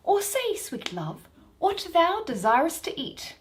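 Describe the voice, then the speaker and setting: A woman's voice: extremely high pitch, sing-song, slow pace, pitched above the performer's own voice, heavily acted. Lovestruck fairy queen played by a woman, lilting. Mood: adoring. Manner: tender, adoring.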